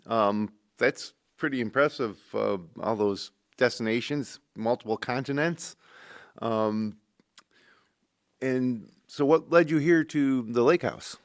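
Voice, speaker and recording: Middle-aged male host, mid pitch, gravelly texture, easygoing studio chat. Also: slow, no echo. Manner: relaxed, conversational with many fillers. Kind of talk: local talk show hosting with ad reads.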